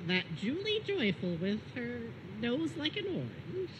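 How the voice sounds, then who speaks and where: A woman acts as an earnest, prim cartoon gardener fellow. The voice is fairly high and swings wildly in pitch, with clear noise behind it. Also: very animated, a little slow, dull audio. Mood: playfully indignant. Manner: prim, wordy. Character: fussy, earnest male puppet gardener. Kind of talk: cartoon character voice acting.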